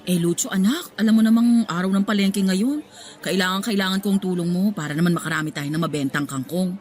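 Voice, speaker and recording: A woman playing a hardworking, scolding yet loving market-vendor mother in a radio drama, expressive and motherly, with music behind her. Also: fairly low pitch, fairly quick, lightly acted. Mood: coaxing, worried. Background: music bed.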